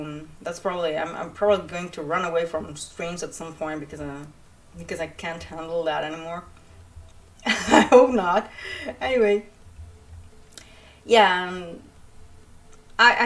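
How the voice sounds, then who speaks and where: A young woman with an Italian accent, chatting casually and rambling through an introduction to her channel, with many fillers and restarts. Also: on the low side. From Italy. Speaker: writer and streamer.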